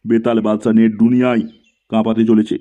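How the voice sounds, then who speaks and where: A man's voice: lowish voice, monotone, a little fast, narrow, tinny audio, very noisy, lightly acted. A man voicing a boastful, crude, self-styled celebrity in a comedy cartoon. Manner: pompous, swaggering.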